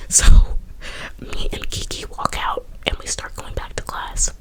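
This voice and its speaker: Young woman whispering a chatty story. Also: measured pace. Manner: soft whisper, chatty with many fillers. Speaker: vlogger.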